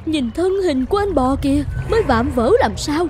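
A woman voicing a small, admiring, excitable pond animal in a cartoon fable, in a gushing manner. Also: sits high, normal speed, soft background music.

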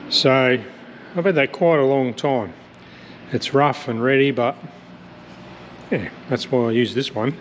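A man's voice: medium pitch, a little slow, long pauses. A man casually talking through his aircraft build as he works, thinking aloud with plenty of hesitations. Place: large metal hangar workshop. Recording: echoey hangar, faint background noise.

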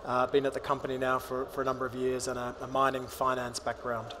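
A man's voice: medium pitch, completely flat delivery, fast talker, a bit bright, big-room sound, slight background noise. Matter-of-fact man introducing himself. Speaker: panelist. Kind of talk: panel self-introduction.

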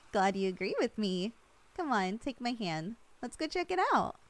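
Young woman, slightly higher voice, ASMR girlfriend roleplay; soft, affectionate, lightly teasing. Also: big pitch swings, measured pace. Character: loving long-distance girlfriend, young woman, teasing and tender.

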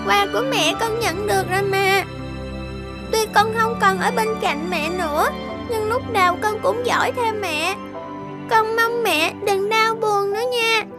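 A woman's voice: very high voice, measured pace. A woman voicing a sweet, consoling little girl in a cartoon drama, tender and childlike. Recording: studio voice under loud children's music.

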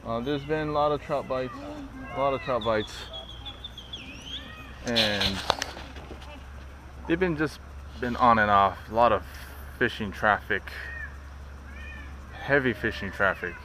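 A man's voice: fairly low pitch, background noise. Young socal guy recapping his fishing day into a handheld cam outside, chill and rambly, lots of uhs. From Southern California. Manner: relaxed, easygoing recap with frequent fillers and restarts. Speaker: fishing vlog host. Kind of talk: casual selfie-style vlog narration. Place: outdoors by a park lake, later on a street-side patio at night. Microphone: action camera held close at arm's length.

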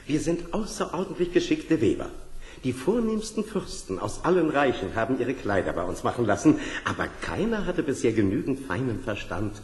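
A man plays an obsequious fairy-tale trickster, flattering in lively swoops. His voice rings in a reverberant hall, as in a radio play. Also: medium pitch, normal speed, lightly acted. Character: sly, flattering male swindler posing as weaver.

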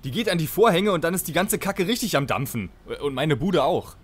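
An exasperated, scatterbrained guy in a comic audio drama, acted by a man, grumbling in everyday speech. His pitch jumps around a lot, with faint noise in the background. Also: animated, brisk, lightly acted. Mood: grumbling, pondering. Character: exasperated, scatterbrained male hero of a comedy radio play. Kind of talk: parody audio drama acting.

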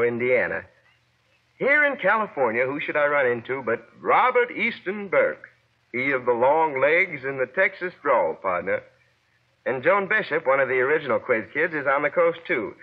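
A young man reading a scripted news roundup as a guest correspondent on 1940s radio. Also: a little high. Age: young adult. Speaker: guest correspondent, former child quiz contestant. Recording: dull lo-fi vintage 1940s radio broadcast.

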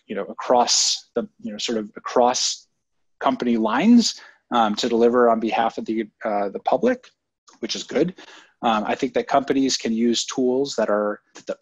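A man's voice: medium pitch, breathy edge, no echo. A man answering interview questions in a thoughtful, conversational way, with frequent ums and restarts. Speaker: guest, former government technology leader. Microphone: online call audio. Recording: dull, dry lo-fi online audio.

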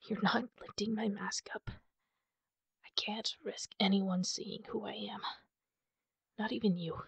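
A woman playing a wounded, guarded and prickly masked superheroine in an ASMR roleplay, half-whispering in a breathy, husky voice with halting, pained sighs. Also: mid-range voice, fairly even intonation, dry recording, full character voice. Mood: defensive. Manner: halting, sighing, pained delivery.

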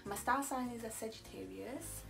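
Young woman, gravelly voice, chatty vlog. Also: a little high, moderate pace, distant mic, music in the background. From Italy. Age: young adult. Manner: chatty, rambling, many fillers and restarts. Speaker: YouTuber. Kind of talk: casual get-to-know-me chat. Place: small indoor room, sitting in a corner.

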